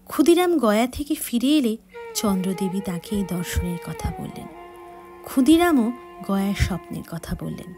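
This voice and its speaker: A woman narrating a devotional story for children in a gentle, measured reading style with soft breathy passages. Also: medium pitch, sing-song. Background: faint background music.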